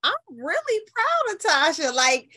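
A woman's voice: very high voice, very animated, measured pace, bright, forceful tone. A middle-aged American woman talking casually as an interview guest, in an outspoken, emphatic and colloquial way. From New Haven, Connecticut, US.

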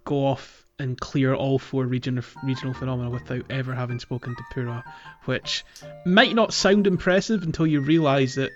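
Man, mid-pitched, halting casual podcast talk, slightly muffled lo-fi recording, soft music behind. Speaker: podcast co-host. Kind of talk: casual chat, gaming podcast. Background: background music.

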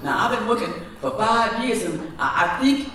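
A man's voice: high-pitched, expressive, moderate pace, sharp tone, slight background noise, voice pushed up. A man voicing a poor, desperate male street sweeper who stammers and pleads. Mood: pleading. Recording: echoey room from far off, faint music.

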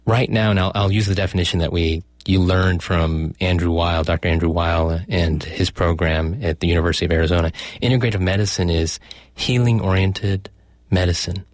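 Low-voiced middle-aged man, physician guest, measured explainer, dry booth sound. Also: no echo. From United States. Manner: thoughtful, explanatory, with fillers and self-corrections. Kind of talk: conversational interview.

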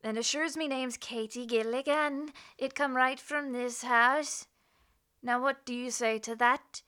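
Chatty lady cop for a radio play, high and bright, nosy and folksy. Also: fairly even intonation, a little slow. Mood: indignant, insistent. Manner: folksy, garrulous, colloquial, chuckling. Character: chatty, nosy, feisty Irish-American policewoman neighbor.

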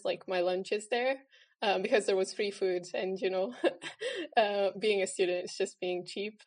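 Young woman from italy, student, laid-back chat full of ums. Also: mid-range voice. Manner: relaxed, many fillers like uh and um. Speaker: student guest. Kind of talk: casual interview chat.

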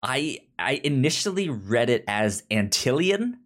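A man with a mid-pitched voice co-hosts a relaxed book chat. His delivery is halting, and he frequently stammers over the first sounds of words, repeating them before moving on. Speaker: podcast co-host. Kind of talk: casual book discussion chat.

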